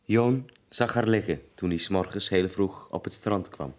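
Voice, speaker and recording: A grave village man, played by an actor narrating in a Flemish radio drama. His voice is very low. He speaks solemnly, as one voice of a chorus. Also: normal speed, natural voice.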